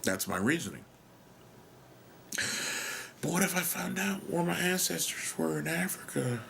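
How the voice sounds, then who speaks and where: A man's voice: a little high, sing-song, measured pace, creaky, a bit bright, a little hiss or hum. An American man rambling through a solo monologue, musing and questioning himself, sometimes dropping to a whisper and swearing casually. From United States. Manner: musing, self-questioning, trails off. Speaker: podcast host.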